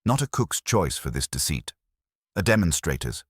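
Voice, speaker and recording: A male narrator plays a big, pedantic detective genius with a very deep voice. He speaks in pompous, formal commands with a lively rise and fall, as in a mystery audiobook. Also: moderate pace, breathy edge, no echo, clean studio sound, heavily acted. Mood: pompous deduction.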